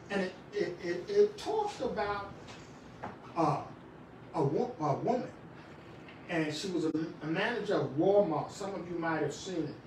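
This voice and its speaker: A middle-aged man preaching a sermon in a small church, swinging from shouts to quiet talk with many restarts. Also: high voice, a little hiss or hum. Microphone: far camera. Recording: echoey room, far from the camera.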